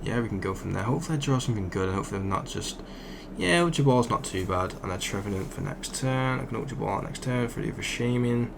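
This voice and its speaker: A young man, croaky from just waking up, rambling casually as he commentates a card game he is playing. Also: on the low side, fast, hardly any pauses, background noise. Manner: rambling, thinks aloud with fillers. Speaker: gaming channel host.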